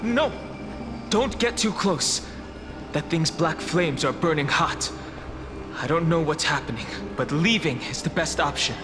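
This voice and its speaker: An actor playing a cautious, level-headed young adventurer in a video game, serious and restrained in delivery. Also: male voice, fairly high pitch, animated, a little slow, music in the background. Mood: alarmed. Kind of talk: scripted video game voice acting.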